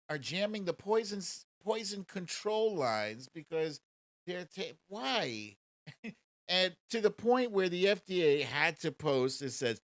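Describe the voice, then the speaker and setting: A man delivers casual political commentary as a show host, taking his time over each point. His pitch moves around a lot, and the sound is close and dry. His manner is sarcastic, mocking and profane. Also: fairly bright tone, no room sound.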